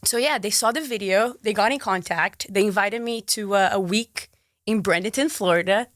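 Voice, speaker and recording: A young woman from Brazil with a Brazilian Portuguese accent, a guest chatting in an interview, bubbly and quick to laugh, with lots of "like.". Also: medium pitch, a bit bright, no room sound, studio quality. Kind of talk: casual interview chat.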